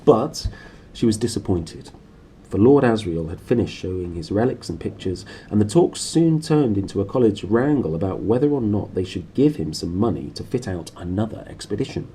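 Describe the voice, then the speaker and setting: A man's voice: deep voice, warm tone, a little hiss or hum. A man in his thirties reading a storybook aloud expressively in a small room, recorded on a nearby camera. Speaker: storybook reader. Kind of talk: expressive read-aloud narration.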